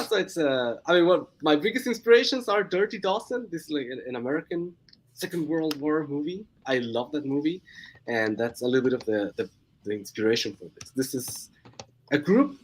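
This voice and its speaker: A man's voice: on the high side, animated, moderate pace, a little hiss or hum, poor audio. Chatty man with a Swiss-Mexican accent. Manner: chatty, laughs often, restarts words. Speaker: guest comic creator. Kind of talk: casual chat on a live stream.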